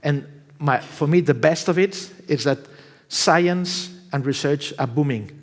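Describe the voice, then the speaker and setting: A man finishing a public talk at a symposium, speaking in halting phrases with frequent restarts. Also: fairly high pitch. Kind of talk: public presentation. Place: symposium hall. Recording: long hall reverb.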